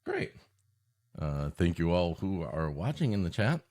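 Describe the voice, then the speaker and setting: A man with a fairly deep, very lively voice banters as a comedy podcast co-host. His pitch moves around a lot as he riffs on jokes, and he often laughs at what he has just said. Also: measured pace. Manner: joking, riffing, often laughing at own jokes.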